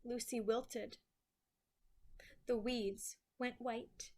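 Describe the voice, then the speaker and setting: A woman reading a picture book aloud to children in an expressive, deliberate way, with pauses between short phrases. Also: on the high side. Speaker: children's storyteller.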